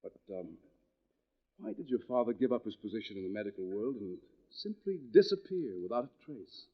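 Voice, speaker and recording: An actor playing a courteous, inquisitive and intense doctor in 1940s radio drama style. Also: male voice, fairly low pitch, very animated, brisk. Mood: eager, probing. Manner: formal, inquisitive, earnest. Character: courteous, intense male doctor writing about criminal minds. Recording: dull vintage 1940s radio recording.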